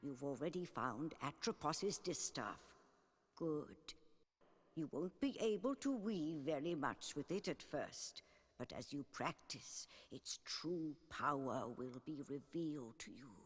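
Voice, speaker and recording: A woman's voice: sits low, slightly rough, full character voice. An actress playing a grave, wise female mentor of weavers in a fantasy game drama, solemn and portentous. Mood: prophetic.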